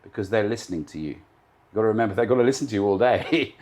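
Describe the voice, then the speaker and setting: A man in his fifties, originally from Britain, speaks in a fairly low register as a guest in an interview. He talks with confidence and emphasis, often lining up his points in repeated, list-like runs. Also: fast talker, darkish tone. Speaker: guest, live TV director. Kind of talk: interview answers.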